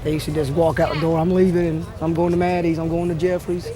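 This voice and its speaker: A man's voice: a little high, little pitch movement, fast talker. A man speaking plainly in a local news street interview, as a neighborhood parent. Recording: outdoor interview with crowd noise.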